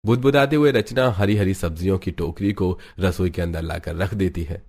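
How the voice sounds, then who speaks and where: A man with a deep voice narrates a cartoon family tale. The delivery is scripted storytelling, moving through household events as a narrator. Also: normal speed, creaky, a little mellow.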